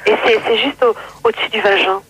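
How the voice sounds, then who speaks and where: A woman's voice: on the high side, steady tone, quick pace, very noisy. A woman on a call-in radio show, cheekily needling the host and repeating her questions. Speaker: caller. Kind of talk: prank call-in banter.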